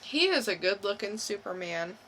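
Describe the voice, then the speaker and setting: Bright-voiced woman co-hosting a casual podcast. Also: medium pitch, lively intonation, moderate pace, a little hiss or hum. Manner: informal, slangy. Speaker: podcast co-host. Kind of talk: casual chat.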